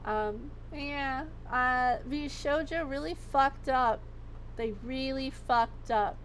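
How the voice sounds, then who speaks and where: A woman livestreamer chatting casually, her voice going hoarse as she rambles and swears freely. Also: sits high, slow, a little hiss or hum, rough recording. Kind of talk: casual chat.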